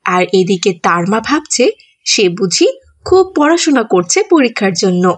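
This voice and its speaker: A woman's voice: medium pitch, big pitch swings, normal speed, husky. A woman reading scripted narration for a children's cartoon story.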